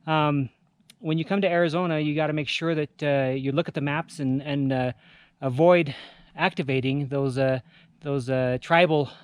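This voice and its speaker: A man talking casually to camera outdoors in a halting way, searching for his words. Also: on the high side, steady tone, smooth, dry recording, clean studio sound. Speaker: amateur radio hiker hosting a summit video. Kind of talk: casual vlog talk to camera. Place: outdoors on a rocky mountain summit.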